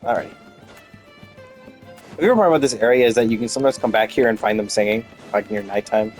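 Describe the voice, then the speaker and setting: A man's voice: lowish voice, fast talker, darkish tone, soft background music. A man giving casual commentary as he streams a game playthrough.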